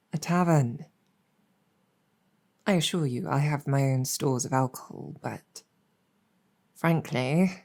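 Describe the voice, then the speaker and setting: Female demon general, acted by a woman: deep-voiced, mellow, gracious and wry, soft-spoken ASMR roleplay. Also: full character voice. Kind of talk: improvised ASMR roleplay storytelling.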